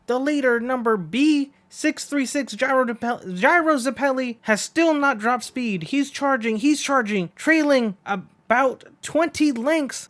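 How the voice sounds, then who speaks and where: A man voicing an excited horse race announcer from a manga, with emphatic, repetitive commentary calls. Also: very high-pitched, voice pushed up.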